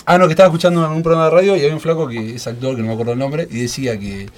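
A man's voice: mid-range voice, steady tone, racing. A man in his thirties with a Rioplatense Argentine accent, a stand-up comedian chatting casually as a guest, laughing often and rambling with fillers. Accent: Rioplatense Spanish. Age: 30s. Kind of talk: casual radio chat.

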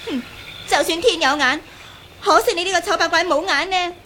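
An actress playing a fiery, jealous young woman in a radio drama, sharp-tongued and petulant. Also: very high voice, normal speed, a little hiss or hum. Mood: scolding. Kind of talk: Cantonese radio drama acting.

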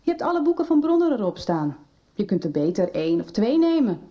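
An actress playing a mild, frank teacher in a Dutch radio soap. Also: female voice, fairly high pitch, very animated, brisk, recorded from a distance, highs cut off. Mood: frank, thoughtful. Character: mild, frank woman school teacher advising a pupil on books.

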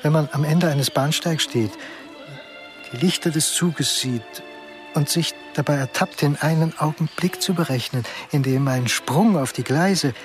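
An actor playing an ashamed middle-aged man in a radio drama, speaking confessionally in deliberate short phrases. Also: fairly high pitch, music in the background, lightly acted. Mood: bleak, haunted. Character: ashamed middle-aged man who secretly lost his job. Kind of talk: German radio drama acting, monologue.

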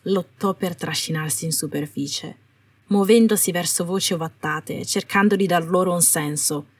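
A woman's voice: lowish voice, moderate pace, breathy edge. A woman reading a scripted story aloud as a dramatic narrator. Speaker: podfic narrator. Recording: roomy, distant microphone.

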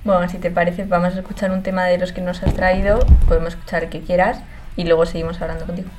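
A woman from hosts a radio interview in a calm, measured way. Her voice is low and keeps a steady, flat line. Soft music plays faintly behind her. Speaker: radio host.

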